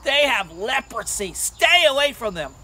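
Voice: mid-range voice, sing-song, unhurried, pushed and bright, full character voice. A storyteller voicing disgusted, jeering young children with squealed exclamations in a story. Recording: outdoor recording with insect noise.

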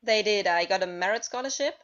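A woman from Germany, asking a question on a video call, soft and hesitant. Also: medium pitch, a little fast. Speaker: caller. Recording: muffled, distant video call audio.

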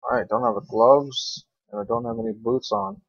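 A man's voice: medium pitch, very animated, normal speed, creaky, no echo. A young adult man giving casual gameplay commentary in an informal, grumbling, sweary way. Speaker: gaming video creator. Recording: dull lo-fi microphone, dry.

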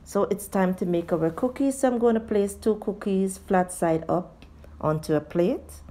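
A woman hosts a home cooking tutorial in voice-over. Her voice is mellow and dark-edged, at a middling pitch for a woman. She walks viewers through the steps one at a time, speaking to them directly. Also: moderate pace. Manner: addresses viewers as guys. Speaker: home cooking show host.

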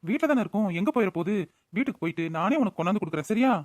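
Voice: mid-range voice, animated, very fast, no room sound, studio quality, natural voice. An actor playing an earnest, put-upon grown elder brother in a cartoon, pleading and reproachful. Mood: placating. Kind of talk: Tamil cartoon voice-over acting.

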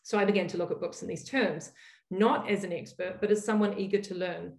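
A woman's voice: lowish voice, steady tone, fairly quick, darkish tone. A middle-aged woman with a New Zealand accent gives a scholarly lecture over slides, thoughtful, with frequent ums and self-corrections. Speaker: academic speaker opening a workshop. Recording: roomy echoey sound.

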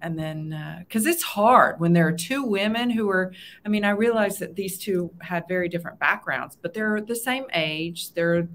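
A middle-aged woman hosting a book club chat over a video call from home, talking casually with quick asides. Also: lowish voice, fairly bright tone, slight background noise. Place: home room.

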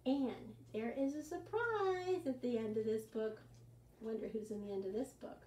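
A woman's voice: on the high side, normal speed, echoey room, distant mic. A woman in her sixties, a children's librarian, reading a picture book aloud with gasps and sing-song songs, recorded in a living room by a camera set back from her. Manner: animated, gasping, sing-song. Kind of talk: storytime reading and singing. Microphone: camera set back across the room.

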